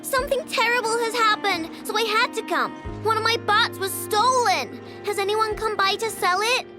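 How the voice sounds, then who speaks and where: A woman's voice: very high-pitched, normal speed, over loud music. A woman voicing a blunt, impulsive young inventor girl in a video game, childlike in manner. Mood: earnest.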